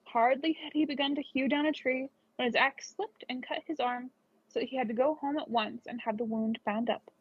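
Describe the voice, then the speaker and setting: A woman's voice: sits high, steady tone, fairly quick, slightly rough, dry recording. A woman reading a scripted children's story aloud. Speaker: storybook reader. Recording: dull lo-fi recording.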